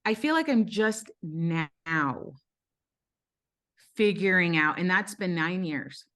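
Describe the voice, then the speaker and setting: A woman's voice: on the low side, lively intonation, takes it slowly, a bit bright. A woman from Brazil, a podcast guest, telling her life story candidly and earnestly, with frequent ums and restarts.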